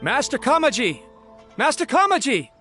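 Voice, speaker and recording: A flustered, animated young officer. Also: male voice, very high-pitched, normal speed, full character voice. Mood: urgent. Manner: stammering, nervous, polite. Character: flustered, deferential young male subordinate officer. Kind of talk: anime-style video game voice acting. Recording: music behind the voice.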